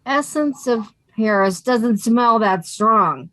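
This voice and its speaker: A woman's voice: on the high side, lively intonation, pushed and bright, no echo, exaggerated voice. Woman playing a wisecracking sensible friend. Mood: dry. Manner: dry, sardonic, quick. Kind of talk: radio comedy acting.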